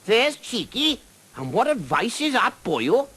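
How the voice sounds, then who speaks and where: A man voicing a great red dragon, cheeky and threatening, with a high, lilting sing-song Welsh accent. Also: sing-song, slow pace, sharp tone, husky, heavily acted. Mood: cheeky, indignant. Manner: lilting, indignant.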